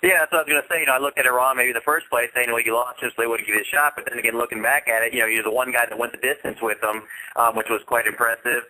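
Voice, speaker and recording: Fast, tense-voiced male radio co-host. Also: mid-range voice, steady tone, sharp tone, low-quality recording. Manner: rambling questions with many restarts. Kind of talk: radio interview.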